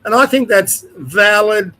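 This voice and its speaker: An older Australian man with a fairly high-pitched voice, stuffed up and nasal from a cold. He rants bluntly to camera, rambling, cursing and tripping over his own sentences. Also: measured pace, bright, forceful tone. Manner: blunt, rambling, swears, restarts often. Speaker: host. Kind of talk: opinion rant to camera.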